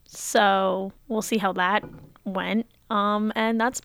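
A woman in her twenties co-hosting a podcast, summarizing news in casual chat with dry, deadpan humor. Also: medium pitch, slow, smooth. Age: 20s. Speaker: podcast co-host. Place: small studio.

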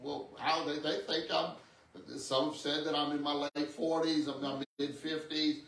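A man's voice: fairly high pitch, level tone, moderate pace, a bit bright, slight background noise. A man in his sixties preaching a conversational teaching sermon, often repeating words and checking in with amen and okay. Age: 60s. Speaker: pastor preaching. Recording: echoey room, distant microphone.

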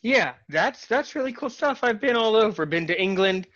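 Halting, bright-voiced podcast host man. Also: high voice, expressive, dull audio. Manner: halting, repeats words, self-described sarcastic. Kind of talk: casual interview chat.

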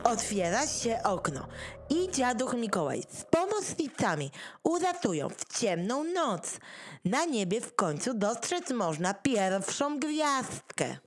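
A woman's voice: a little high, sing-song, takes it slowly. A woman reading scripted stage narration for an audio play.

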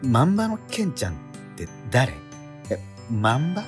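A man's voice: medium pitch, wildly swooping pitch, a little slow, music in the background. A man playing a polite, curious teenage transfer student in a radio drama, with apologetic, puzzled interjections. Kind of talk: conversational radio drama acting.

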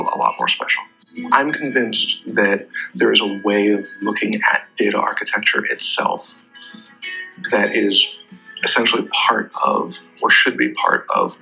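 A man presenting in an explanatory business talk, phrasing haltingly with frequent hesitant pauses. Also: on the low side, creaky, pushed and bright, husky, low-quality recording. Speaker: presenter for a data technology company.

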